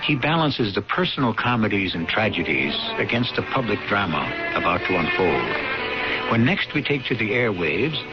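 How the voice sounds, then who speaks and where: A man's voice: lowish voice, big pitch swings. Old radio drama host guy, portentous narration, muffled sound, big music behind. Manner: theatrical, portentous, deliberate.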